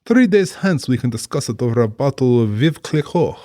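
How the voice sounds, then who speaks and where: An actor playing a cultured, genially menacing general and big-game hunter in a dramatized audiobook, genteel, theatrical and condescending. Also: male voice, mid-range voice, big pitch swings, moderate pace, mellow, no room sound, clean studio sound, close to an everyday voice. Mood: gleeful, menacing.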